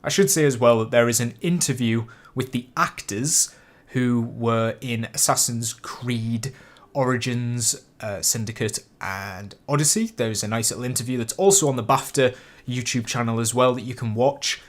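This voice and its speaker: A man's voice: mid-range voice, normal speed. A man hosting a casual live stream, chatty and rambling while getting over a cold with a cough and sniffle. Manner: chatty and rambling, with many fillers and restarts. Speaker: YouTube host streaming a live reaction.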